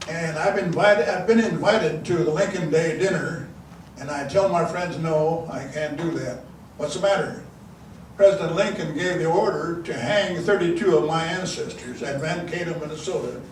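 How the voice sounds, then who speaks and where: An older man from South Dakota giving a folksy storytelling talk into a handheld mic in a large meeting room, halting and restarting often. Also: fairly high pitch, far from the mic. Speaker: guest speaker. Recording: echoey room, mic from afar, faint hiss.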